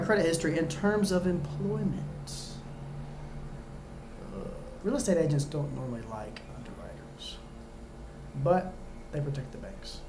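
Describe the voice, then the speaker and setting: A man's voice: high-pitched, noisy background. Chatty man in an echoey room. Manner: chatty, talks straight to viewers, jokey asides. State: going hoarse. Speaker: exam prep tutor. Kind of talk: casual practice-exam walkthrough.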